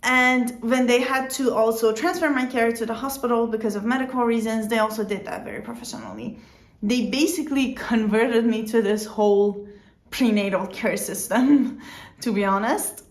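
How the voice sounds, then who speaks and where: Woman with a non-native English accent, roomy sound. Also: mid-range voice. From outside the Netherlands. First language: not English. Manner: conversational, with fillers and self-corrections. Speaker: vlogger. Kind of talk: informal explainer vlog.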